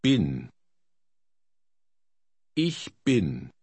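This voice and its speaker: A man slowly modeling phrases for learners to repeat in a course recording. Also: deep voice, huge pitch swings, darkish tone, husky, dry recording. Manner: slow, carefully articulated. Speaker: native model speaker. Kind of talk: slow drill repetition. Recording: dry studio.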